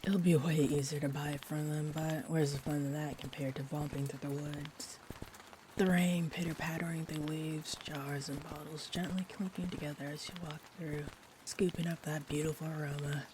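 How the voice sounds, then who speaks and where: A woman playing a sweet, rambling young-looking vampire bartender in an intimate roleplay audio, speaking gently and hesitantly with whimsical tangents. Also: low voice, normal speed, noisy background, natural voice. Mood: dreamy, playful. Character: sweet rambling friendly female vampire bartender, looks twenty-four. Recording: steady rain ambience behind the voice. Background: rain.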